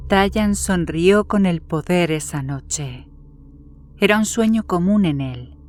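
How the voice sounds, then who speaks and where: A woman's voice: lowish voice, takes it slowly, a little mellow. A woman reading a horror story as narrator, in scripted literary narration. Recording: music behind the voice.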